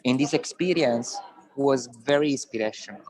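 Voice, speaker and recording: A man with an Italian accent speaks English with frequent pauses and restarts. His pitch sits in the middle for a man. He reflects at an easy, searching pace. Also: darkish tone. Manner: halting, searching for English words. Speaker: program organizer. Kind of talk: casual online panel chat.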